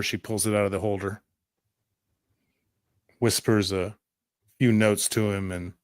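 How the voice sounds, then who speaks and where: A man narrating scenes as a game master in a measured, atmospheric storytelling manner. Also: on the low side, dry recording, studio quality. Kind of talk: descriptive third-person tabletop game narration.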